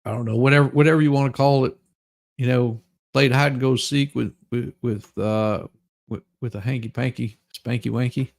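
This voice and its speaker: A man giving a mock dramatic reading with crude comic asides, in a halting, folksy, stammering delivery. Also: medium pitch, steady tone, vocal fry. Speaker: livestream host.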